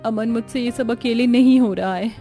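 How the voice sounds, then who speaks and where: A woman's voice: fairly high pitch, moderate pace, dark tone, faint music behind, natural voice. A woman voicing a weary, exasperated young daughter-in-law in a cartoon story, complaining and pleading emphatically. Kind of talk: Hindi cartoon story acting.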